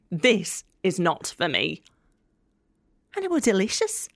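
A woman's voice: mid-range voice, dry recording, clean studio sound. An actress playing a tough, guarded working woman from Leeds with a Yorkshire accent, blunt, wry and confiding in an audio drama monologue. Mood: wry, delighted. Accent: Northern English, Yorkshire.

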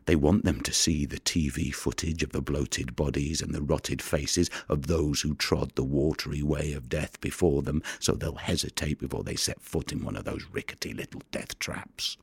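An actor playing a weary, haunted fisherman in an audio drama monologue, husky, brooding and halting in a confiding way. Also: a bass voice, fairly even intonation, no room sound, exaggerated voice. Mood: grim. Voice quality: gravelly, husky. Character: weary, haunted male fisherman who recovers the drowned.

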